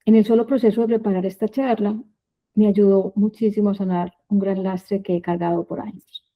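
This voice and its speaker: A woman in her fifties with a Medellín Colombian accent, telling her life story as a prepared talk in measured, short phrases. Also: mid-range voice, fairly even intonation, highs cut off. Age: 50s.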